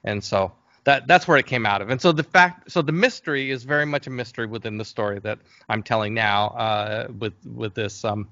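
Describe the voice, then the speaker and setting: A man talking casually as an interview guest, telling stories in a hesitant but enthusiastic, halting way. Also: medium pitch, bright, forceful tone, no room sound, dull audio. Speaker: interview guest, game creator. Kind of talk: casual interview talk, storytelling.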